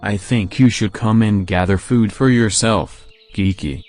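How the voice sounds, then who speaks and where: A man voicing a calm, caring father crow in a storybook. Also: on the low side, unhurried, faint music behind, lightly acted.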